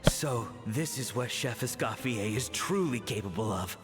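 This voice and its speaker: An actor playing a subdued, humble, worried man in a game, measured and concerned. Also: mid-range voice, expressive, loud music behind the voice, natural voice. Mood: impressed.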